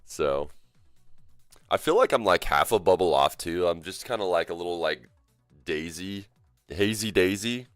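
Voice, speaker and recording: A man's voice: low-pitched, expressive, unhurried, long pauses, smooth, dry recording. A man live streaming a game, sick and coughing, chatting loosely with viewers and joking as he greets chat. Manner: loose and joking, rambling with fillers. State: sick with a cough, voice deeper than usual. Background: faint game music.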